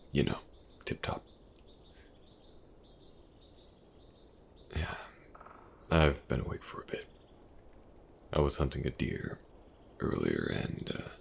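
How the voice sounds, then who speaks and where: Man acting a very deep, gravelly elven mercenary. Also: sing-song, slight background noise, heavily acted. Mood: sheepish, casual. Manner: hesitant, soft-spoken, casual, with halting pauses. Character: male elven mercenary companion, patient, awkwardly gentle archery teacher. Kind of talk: intimate fantasy audio roleplay acting.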